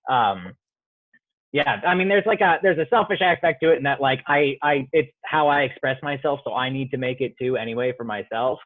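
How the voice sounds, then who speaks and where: A man's voice: mid-range voice, animated, brisk, no echo. Young man, playwright guest, hesitant and reflective, thin phone-line sound, dry. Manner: thoughtful, many fillers and restarts. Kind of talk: reflective casual interview answers.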